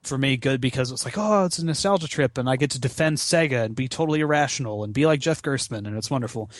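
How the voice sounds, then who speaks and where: A man's voice: medium pitch, fast, dry recording, studio quality. A man in his twenties in casual, rambling gamer chat, swearing freely with slangy, self-deprecating asides. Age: 20s. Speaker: podcast guest, gaming marathon runner. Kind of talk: casual rambling chat about video games.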